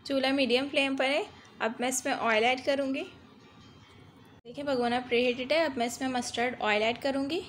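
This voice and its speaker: A woman's voice: high-pitched, fairly even intonation, a little fast, slight background noise. A woman hosting a cooking channel, giving a step-by-step recipe voice-over and guiding viewers through each stage. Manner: instructive, repeats "dekhiye" to guide viewers.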